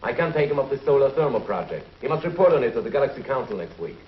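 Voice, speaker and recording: Quick, clipped commissioner played by an actor, roomy old 1950s TV sound. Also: male voice, mid-range voice, very animated, dull audio, a little hiss or hum, lightly acted. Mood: commanding. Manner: curt, official, commanding. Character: brisk authoritative male space commissioner.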